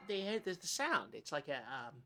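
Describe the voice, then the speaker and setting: Woman doing nervous small town podcast cohost, husky but sharp voice, stammers and second guesses everything. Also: low-pitched, very animated, normal speed, heavily acted. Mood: nervous, hesitant. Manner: hesitant, stammering, self-doubting. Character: nervous, unsure, earnest small-town co-host named Parker. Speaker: co-host of a comedy news podcast. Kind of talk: improvised mock local public-access news chat.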